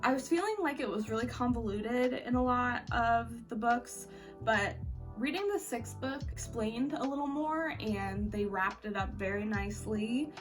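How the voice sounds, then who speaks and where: A woman's voice: fairly high pitch, a little slow. A woman giving a casual, chatty book review in an informal, slangy, opinionated way. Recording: slightly distant mic, faint music behind.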